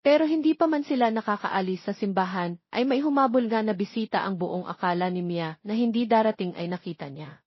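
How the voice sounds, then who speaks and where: A Filipino woman narrates a romance audiobook from a script. Her voice sits at a middle pitch. The recording is very dry and a little dull. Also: fairly quick, breathy edge, no room sound.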